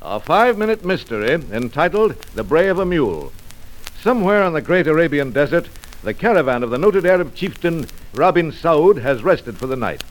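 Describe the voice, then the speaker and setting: A man's voice: a little high, rough recording. A man narrating a scripted radio mystery as announcer, in 1940s style.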